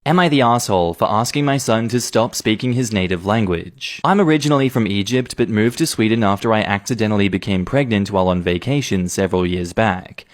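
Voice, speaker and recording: A man reading online advice posts aloud in a steady, even read. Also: on the low side, brisk, hardly any pauses.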